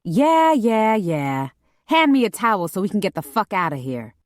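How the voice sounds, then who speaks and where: A woman's voice: medium pitch, big pitch swings, a little slow, dry recording, clean studio sound, voice pushed up. A woman voicing a brash, overdramatic, jealous young woman assassin with a petulant, profane manner in an audiobook. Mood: irritated, impatient. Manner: overly dramatic, petulant, profane. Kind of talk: audiobook character dialogue.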